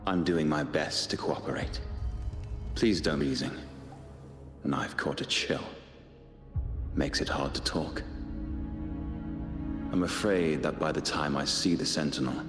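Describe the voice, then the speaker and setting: A man voicing a weary, composed, condemned poet in a video game, polite and wry with quiet defiance. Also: a bass voice, measured pace, pauses often. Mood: wryly polite. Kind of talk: scripted video game dialogue. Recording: echoey long reverb, music behind.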